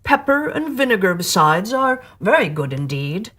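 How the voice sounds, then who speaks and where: A woman's voice: mid-range voice, big pitch swings, normal speed, a bit bright. A woman voicing a grandly persuasive, falsely tearful male walrus for a storybook poem, with a pompous, coaxing manner. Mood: smooth, scheming.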